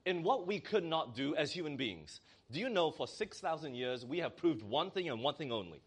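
Animated male preacher calling for amens. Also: fairly high pitch, normal speed, husky. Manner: lively preaching, often calls to the congregation for amen; reads scripture aloud in old-style wording. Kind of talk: sermon.

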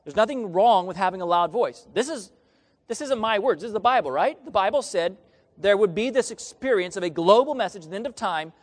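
A man's voice: sits high, animated, fairly quick. A man preaching a sermon, reading scripture aloud and asking the congregation questions they answer back. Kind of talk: sermon with call and response. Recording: long reverb of a large hall.

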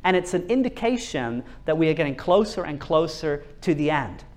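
A man's voice: fairly high pitch, sing-song, normal speed, darkish tone. A man from Norway, preaching a sermon earnestly, repeating words as he builds a point and asking the audience questions.

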